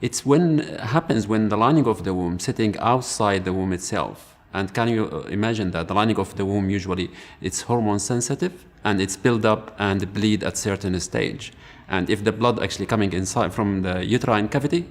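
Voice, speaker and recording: A man speaking as a medical specialist, explaining earnestly with halting phrases and frequent fillers. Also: lowish voice. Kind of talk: explanatory interview talk.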